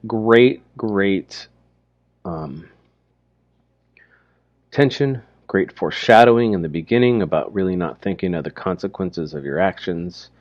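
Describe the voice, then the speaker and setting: A man's voice: low-pitched, dry recording. A man commenting informally on a short story, thinking aloud and asking rhetorical questions. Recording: dry, slightly dull lo-fi recording.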